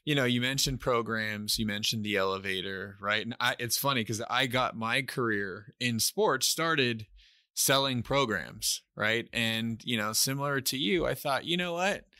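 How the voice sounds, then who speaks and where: Bright-voiced man hosting a podcast. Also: medium pitch, normal speed, dry recording, studio quality. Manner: friendly, affirming interviewer. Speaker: podcast host. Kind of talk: casual interview conversation.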